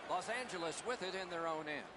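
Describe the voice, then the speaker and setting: A high-voiced male TV hockey announcer calls the game in clipped, punchy phrases. He sounds brisk and keen, like a video game broadcast, and loud arena noise runs all around him. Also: expressive. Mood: keen, urgent. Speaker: play-by-play sports commentator. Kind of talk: hockey play-by-play commentary.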